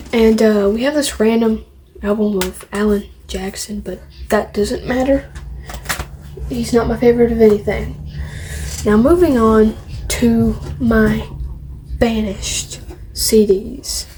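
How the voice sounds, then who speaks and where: Higher-voiced woman, slow rambling show-and-tell. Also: warm tone, a little hiss or hum. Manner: rambling, opinionated, repeats phrases. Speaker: vlogger showing a music collection. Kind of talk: casual show-and-tell to camera.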